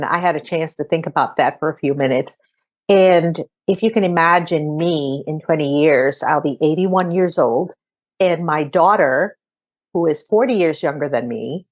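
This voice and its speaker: Woman in her sixties, low-ish voice. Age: 60s. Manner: thoughtful, earnest, encouraging. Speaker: podcast host. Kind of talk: conversational podcast answers.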